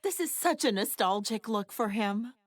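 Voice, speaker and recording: A woman voicing a brash, sassy, doting wife and mother in an anime video game, teasing and expressive. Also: a little high, moderate pace, dry recording, clean studio sound. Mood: playful, chatty. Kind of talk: scripted anime game voice acting.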